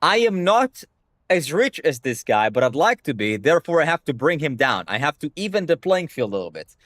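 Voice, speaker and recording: A man's voice: on the high side, sing-song, brisk, a bit bright, no room sound, clean studio sound. A young man whose first language is Hebrew, talking bluntly to his stream chat and swearing freely. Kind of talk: casual livestream rant.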